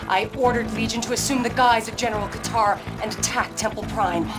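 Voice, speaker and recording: A woman's voice: fairly high pitch, steady tone, brisk, natural voice, low-quality recording. Actress as intense, defiant female militant zealot. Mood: desperate, confessing. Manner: intense, dramatic, emphatic. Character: fervent, defiant female militant zealot, loyal yet distrustful. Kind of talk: dramatic video game cutscene acting. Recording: voice over loud background music.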